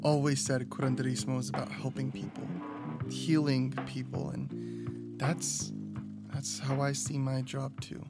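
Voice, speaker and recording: Guy acting weary haunted man in audio drama, gravelly and slow, music under him. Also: mid-range voice, flat delivery. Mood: somber, haunted. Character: Raphael, weary, earnest, haunted man, a first responder type.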